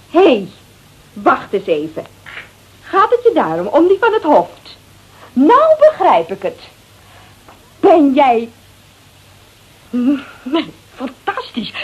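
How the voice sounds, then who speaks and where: A woman's voice: high-pitched, heavily acted, poor audio. A woman playing a brash, bored, flippant housewife in a Dutch radio drama, slangy and gossipy with a Netherlands accent. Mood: indignant, probing, then amused excitement. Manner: slangy, gossipy, theatrically expressive.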